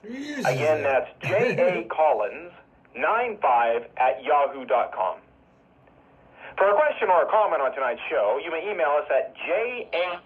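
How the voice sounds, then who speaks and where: A man making a radio announcement over shortwave, spelling things out deliberately. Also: a little high, sing-song. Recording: muffled shortwave radio, faint static.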